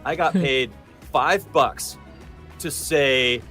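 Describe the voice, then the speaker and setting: Male voice actor from Southern California, medium pitch, bright tone, casual laid-back storyteller. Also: slow pace, faint music behind. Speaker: voice actor guest. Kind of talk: casual interview chat.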